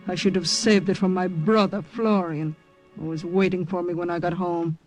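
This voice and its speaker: Bitter proud young woman played by an actress, old-time radio drama, grave, deliberate, music behind. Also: lowish voice, steady tone, a little mellow, close to an everyday voice. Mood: somber, reflective. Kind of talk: old-time radio drama acting, first-person narration and dialogue. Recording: vintage radio drama recording.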